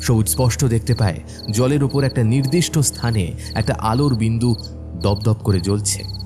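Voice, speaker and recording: A male narrator tells a scripted spooky cartoon tale with dramatic delivery. His voice is mid-pitched, with music clearly playing behind it. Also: moderate pace.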